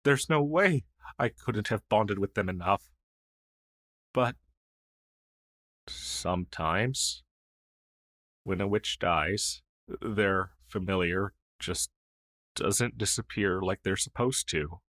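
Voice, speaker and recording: A man playing a gentle, wistful old crow familiar in an ASMR roleplay, speaking hesitantly and softly with sighs. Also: lowish voice, dry recording, clean studio sound. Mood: sad, hesitant. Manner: hesitant, halting, soft-spoken with sighs.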